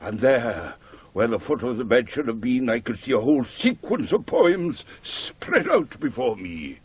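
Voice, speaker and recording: An actor playing a menacing, wounded and eccentric poet in a radio drama, declaiming and indignant. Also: male voice, medium pitch, very animated, measured pace, a little mellow, slightly rough, no room sound. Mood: aggrieved. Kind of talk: 1980s British radio drama acting. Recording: slightly dull archive radio recording.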